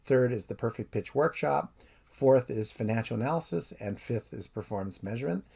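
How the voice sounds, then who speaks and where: Man giving a steady course introduction. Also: medium pitch, brisk, gravelly, breathy edge. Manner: steady, methodical explainer. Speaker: professor. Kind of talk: recorded course intro lecture.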